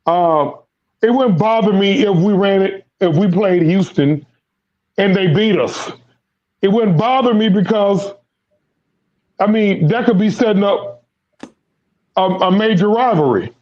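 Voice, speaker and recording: A man's voice: high-pitched, unhurried, pauses often, darkish tone, highs cut off. A man who is losing his voice, hosting casual sports talk and rambling with lots of repeated words. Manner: rambling, repeats words, Southern American slang. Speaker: sports podcast host.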